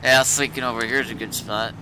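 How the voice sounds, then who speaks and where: A man's voice: mid-range voice, steady tone, quick pace. A man chatting casually in character as he plays an online roleplay game. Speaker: roleplay gamer and streamer. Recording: clear vehicle noise behind game voice.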